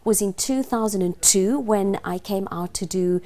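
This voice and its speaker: A middle-aged woman with a South African accent, giving interview answers with deliberate, emphatic repetition. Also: medium pitch, warm tone. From Cape Town, South Africa. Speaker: guest, news trainer and journalist. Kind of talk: measured interview talk.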